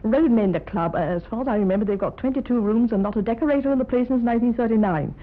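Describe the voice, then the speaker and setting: A woman's voice: fairly high pitch, fast talker. A woman, talking emphatically and approvingly as a judge in a radio discussion. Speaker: competition judge. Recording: muffled, band-limited 1950s radio broadcast.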